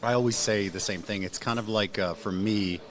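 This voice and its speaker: A man from Canada, a guest in a casual podcast chat, talking quickly and often repeating his words as he starts sentences. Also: fairly low pitch, fairly even intonation, crowd noise.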